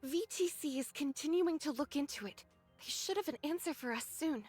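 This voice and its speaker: An actress playing a composed squad leader in a game cutscene, giving briefings in a measured, formal, authoritative way. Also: female voice, high-pitched, lively intonation, a little mellow, soft background music. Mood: composed, explanatory.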